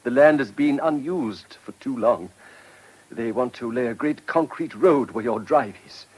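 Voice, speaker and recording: A husky, courteous vicar. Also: male voice, medium pitch, unhurried, a little mellow, poor audio. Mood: apologetic warning. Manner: polite, hesitant, fussy. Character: courteous, hesitant, anxious English village vicar. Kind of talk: period radio drama acting.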